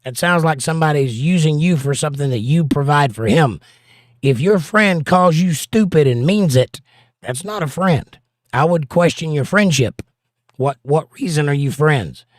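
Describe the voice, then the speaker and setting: Hoarse man giving folksy advice. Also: fairly high pitch, animated, normal speed, no room sound, studio quality. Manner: folksy, plain-spoken advice. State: hoarse, voice partly lost. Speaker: livestream host and pastor. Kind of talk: casual livestream chat, reading viewer comments.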